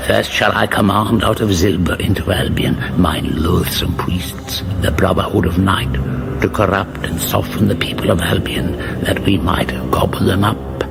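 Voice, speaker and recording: An actor playing a grave old chronicler, narrating a mock-epic fantasy saga with portentous deadpan delivery. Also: male voice, low-pitched, normal speed, creaky. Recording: loud music under the voice.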